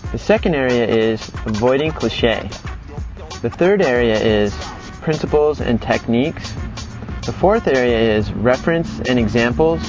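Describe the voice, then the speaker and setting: A male instructor explains his lesson conversationally in a medium-pitched voice. The recording is a little muffled, and music plays clearly behind him. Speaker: animation acting instructor.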